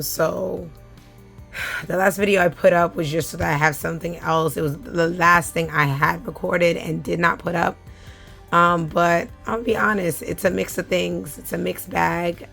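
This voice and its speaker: A woman, talking casually to her viewers in a hoarse, scratchy voice, with plenty of fillers. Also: fairly low pitch, a bit bright. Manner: chatty, with frequent fillers. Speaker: vlogger. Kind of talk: casual chat.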